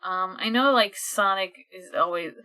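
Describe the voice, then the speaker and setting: A woman co-hosting a podcast in casual chat, talking in an animated, informal way full of "like". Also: mid-range voice, measured pace, soft background music, low-quality recording. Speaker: podcast co-host.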